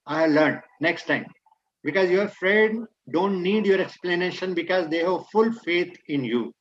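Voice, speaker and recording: A man's voice: high voice, a little slow, dull audio. A man whose first language is Hindi, speaking Indian English and mixing in Hindi, giving a motivational talk on leadership that states maxims and then explains them. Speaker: guest speaker.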